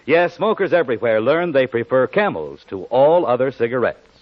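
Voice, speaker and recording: A man's voice: medium pitch, sing-song, normal speed. A male announcer reading a 1940s radio advertisement in an emphatic, salesmanlike way. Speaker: commercial announcer. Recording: muffled 1940s radio recording.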